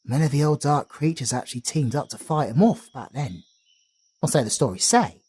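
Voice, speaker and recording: Bloke doing a matey british traveler, rambly storyteller, husky voice, pitch all over the place. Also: male voice, animated, brisk, a little mellow. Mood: storytelling. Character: chatty friendly British male traveler companion. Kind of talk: ASMR audio roleplay.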